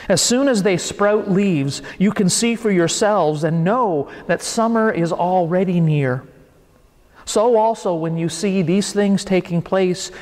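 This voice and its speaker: A middle-aged male pastor delivers a sermon from the pulpit, earnest and measured, building his points with steady repetition. His voice sits fairly high for a man. The sound rings with the long reverb of a church sanctuary.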